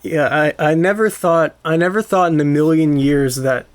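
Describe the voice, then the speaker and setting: A man chatting casually on a podcast, halting and repeating words as he goes. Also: fairly high pitch.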